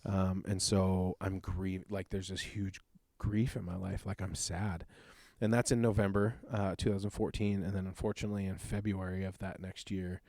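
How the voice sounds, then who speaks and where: A man in his thirties, a youth pastor, shares his personal testimony in an earnest, reflective, halting way. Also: low voice, flat delivery, a little mellow, dry recording, clean studio sound. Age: 30s.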